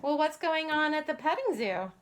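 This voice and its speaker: A woman's voice: sits high, very animated, normal speed, slight background noise. A grown woman hosting a children's puppet show, speaking to little kids and chatting with the puppets. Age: adult parent. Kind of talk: addressing young children, scripted puppet dialogue.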